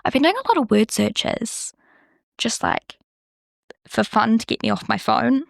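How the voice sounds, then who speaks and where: A woman's voice: fairly high pitch, expressive, unhurried, gravelly, no echo, studio quality. A young woman with a New Zealand accent, hosting a podcast in a chatty, rambling way full of "like". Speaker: podcast host. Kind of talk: casual solo podcast chat.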